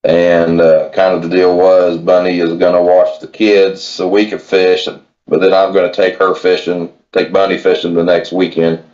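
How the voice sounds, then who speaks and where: A man's voice: low-pitched, level tone, moderate pace, few pauses, darkish tone, highs cut off. An American man chatting casually as an angler guest in an interview, laid-back and plain-spoken, over a remote call. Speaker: podcast guest, tournament kayak angler.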